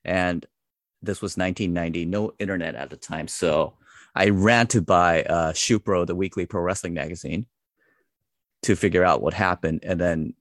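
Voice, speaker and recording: Interview guest, male, 40s: very low and gravelly, easygoing, self-deprecating, dry close mic. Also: no room sound. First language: English. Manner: relaxed, self-deprecating, many fillers. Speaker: interview guest, wrestling translator. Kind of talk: casual interview chat.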